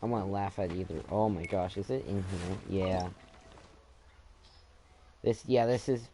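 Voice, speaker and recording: A man's voice: sits low, unhurried, noisy background. A man giving casual, rambling gameplay commentary as he plays, in an informal way. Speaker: gamer, let's player.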